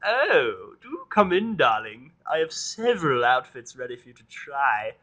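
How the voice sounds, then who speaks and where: A man's voice: medium pitch, big pitch swings, sharp tone, pitched above the performer's own voice, exaggerated voice. A man voicing a refined, theatrical unicorn mare dressmaker who gushes and draws out her words in a storybook reading. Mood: gracious. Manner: theatrical, gushing, drawn-out. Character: posh refined unicorn mare fashion designer.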